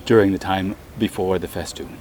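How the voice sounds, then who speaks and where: A man speaking as a theatre director in a casual interview, hesitant and often pausing mid-sentence. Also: low-pitched, fairly even intonation, a little mellow, a little hiss or hum. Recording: crowd chatter behind the voice. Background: crowd of people.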